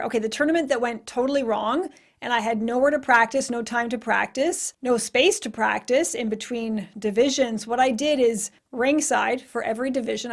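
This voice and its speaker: A woman's voice: fairly high pitch, creaky. Sick woman coach, voice going. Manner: chatty, with small laughs and self-corrections. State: sick, losing her voice. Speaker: martial arts coach. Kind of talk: casual instructional podcast talk.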